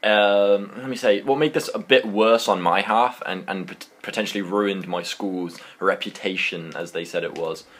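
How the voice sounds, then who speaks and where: A young man with a British accent and a low-pitched voice tells an anecdote to his viewers. His delivery is casual and animated, full of slang, and it rambles along like a chat with friends. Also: normal speed, hardly any pauses. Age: young adult. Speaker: YouTuber telling a story.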